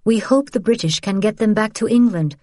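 A woman's voice: medium pitch, fairly quick, darkish tone, no room sound, pitched above the performer's own voice, lightly acted. A woman voicing a brave but frightened young French woman resistance courier, pleading and insistent, for an audiobook. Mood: nervous resolve.

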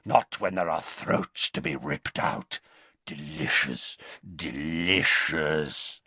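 Man doing a rasping, savage werewolf man. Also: rumbling low, slow pace, sharp tone, no room sound, pitched below the performer's own voice, exaggerated voice. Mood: menacing. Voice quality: rasping bark. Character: savage male werewolf, rough, menacing.

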